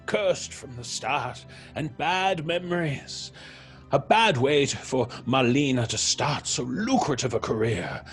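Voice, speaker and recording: A man playing a troubled theatre actor in an audio horror drama, speaking haltingly with dramatic pauses. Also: mid-range voice, animated, faint music behind. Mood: uneasy, evasive. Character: troubled theatre actor, haunted by a past tragedy.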